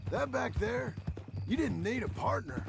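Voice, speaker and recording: An actor playing a male rancher doing bounty work, with a gravelly voice and a laconic, dry manner. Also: extremely high pitch, sing-song, unhurried, over music, exaggerated voice. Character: gruff male rancher turned bounty hunter.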